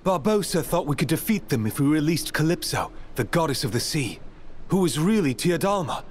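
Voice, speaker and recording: A man's voice: on the high side, big pitch swings, a little fast, a little hiss or hum. A man playing an earnest young sailor hero in a video game, explaining things sincerely. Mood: troubled. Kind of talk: dramatic video game acting.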